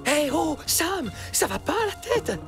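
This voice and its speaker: A woman's voice: high-pitched, big pitch swings, takes it slowly, over music, exaggerated voice. A woman voicing a sensible, bossy male fox who scolds in a matter-of-fact way in a cartoon. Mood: exasperated. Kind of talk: cartoon voice acting.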